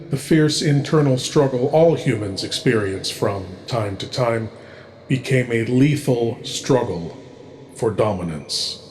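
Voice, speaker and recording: A man hosting a horror program, giving a slow, theatrical, ominous closing monologue. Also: mid-range voice, slight background noise. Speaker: horror show host.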